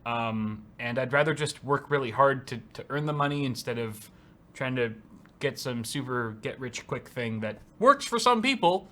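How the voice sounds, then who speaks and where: Irreverent man with a bright, forceful voice. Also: medium pitch, lively intonation, moderate pace. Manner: irreverent, joking, swears freely. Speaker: co-host of a live tech show. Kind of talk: casual banter, reading viewer donations aloud.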